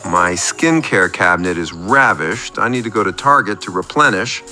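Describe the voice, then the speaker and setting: A man performs a fragile, nervous little guy calling into a comedy radio show. His voice is very sharp and tense, swinging animatedly in pitch. He rambles and confesses in a self-deprecating way over faint music. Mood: flustered, rambling. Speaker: caller. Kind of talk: scripted comedy radio monologue.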